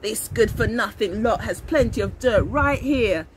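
A woman storyteller voices a grumbling, hard-as-nails old man from a picture book. The delivery is gruff and scolding, with lively swings in pitch, recorded outdoors over faint noise. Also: unhurried.

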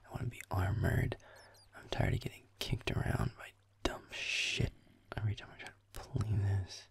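A young man playing a grumpy, sardonic werewolf boyfriend in an intimate audio roleplay, muttering deadpan in a breathy, gravelly murmur as he games. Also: lowish voice, moderate pace, in a whisper.

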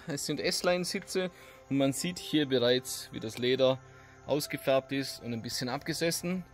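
A middle-pitched man walks viewers through a repair in his home garage. He talks in an easygoing, hesitant way, pausing on fillers as he works. The camera mic catches him close up, then from farther off, over faint background music. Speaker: DIY video host. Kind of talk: casual workshop tutorial. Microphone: camera mic, close then farther as he works on the seat.

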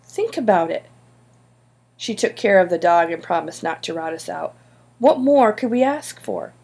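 A woman's voice: lowish voice, expressive, normal speed, creaky, darkish tone, breathy edge, heavily acted. A woman voicing an earnest schoolboy schemer in an audiobook, insistent and persuasive. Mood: confident. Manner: insistent, pleading. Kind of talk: audiobook character dialogue.

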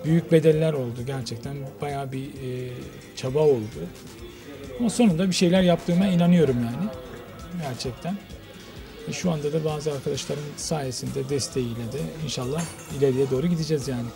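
A man in his forties talks informally as an interviewed business owner. His pitch is a little high and he repeats himself with verbal tags. Music plays clearly behind him. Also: brisk. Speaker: restaurant owner being interviewed. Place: restaurant dining room. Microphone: handheld reporter microphone.

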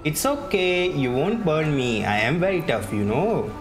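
Guy doing a chill lets play, slow talker, kinda halting, game music in the back. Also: a little high, very animated. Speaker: gaming streamer. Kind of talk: casual game playthrough commentary. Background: video game music.

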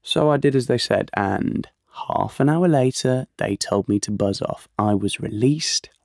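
A man's voice: mid-range voice, a little slow, vocal fry, a little mellow, no room sound, studio quality. An actor playing a naive, lovesick young British man in an audio play, telling his story in a colloquial, confiding way. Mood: confiding, indignant. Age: young adult. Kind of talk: audio play monologue and dialogue.

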